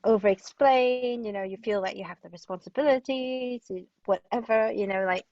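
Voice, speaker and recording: A woman from Hong Kong giving thoughtful interview answers as a guest playwright, often halting and restarting mid-sentence. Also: fairly high pitch, darkish tone, no echo, highs cut off.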